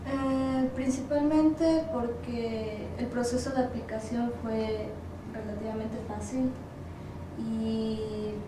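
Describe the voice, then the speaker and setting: A very reverberant, noisy recording of a young woman with an Ecuadorian accent. Her voice is a little high and stays fairly flat in melody as she gives informal interview answers. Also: slow. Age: young adult. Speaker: interviewee, university student. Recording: very echoey room, distant mic, noisy.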